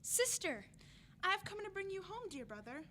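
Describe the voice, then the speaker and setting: A woman's voice: very high voice, very animated, moderate pace, very noisy. A woman playing a sweet, eager, affectionate young girl in a radio drama. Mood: joyful, tender.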